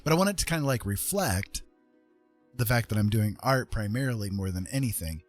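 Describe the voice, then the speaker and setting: A man's voice: lowish voice, expressive, a little fast, soft background music. A man chatting casually on a livestream in a rambling, playful, self-mocking way.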